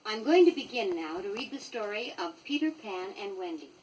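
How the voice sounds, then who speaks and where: Lively American woman reading a children's storybook. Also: medium pitch, normal speed, far from the mic. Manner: expressive, clearly paced storytelling for young children. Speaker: storybook reader. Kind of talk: scripted children's story narration. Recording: noisy 1960s vinyl record.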